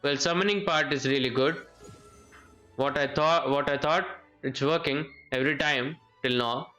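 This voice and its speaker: A man's voice: a little high, normal speed, pushed and bright, low-quality recording. A man giving casual live game commentary, thinking aloud and often repeating his phrases. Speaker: game streamer. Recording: game music behind the voice. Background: video game music.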